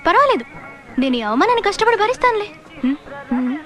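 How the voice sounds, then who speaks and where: A woman's voice: very high voice, very animated. An actress playing a feisty young policewoman in a comedy film, wheedling and dramatic as she talks. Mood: hurt, sulky. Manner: dramatic, cajoling, quick to plead. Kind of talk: Telugu film comedy acting. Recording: music behind the dialogue.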